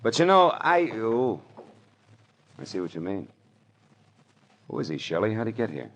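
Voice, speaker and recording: An actor with an American accent playing a wry, world-weary private detective in an old-time radio noir drama. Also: male voice, on the low side, sing-song, normal speed. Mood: cool, probing. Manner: wry, hard-boiled. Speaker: actor playing detective and first-person narrator. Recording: vintage radio drama with music behind.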